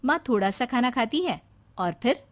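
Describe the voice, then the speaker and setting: A woman's voice: a little high, big pitch swings, moderate pace. A woman narrating a scripted family story.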